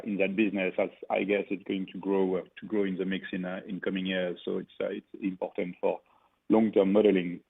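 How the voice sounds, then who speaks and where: A man's voice: on the low side, gravelly, husky. A man asking a question as a financial analyst on an earnings call, phrasing it hesitantly. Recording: muffled narrow phone line.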